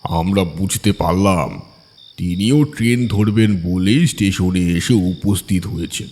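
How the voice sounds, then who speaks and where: A man reads a ghost story as an audiobook narrator. His voice is low and husky, delivered slowly, with a long reverberant tail on the recording. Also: a little mellow. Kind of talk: scripted horror story narration.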